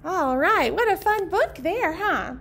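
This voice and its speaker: A woman's voice: extremely high pitch, expressive, takes it slowly, fairly bright tone, slight background noise. A woman in her thirties hosting a children's storytime, talking and reading aloud in simple, encouraging phrases to young listeners. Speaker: children's librarian, storytime host. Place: indoor library program room.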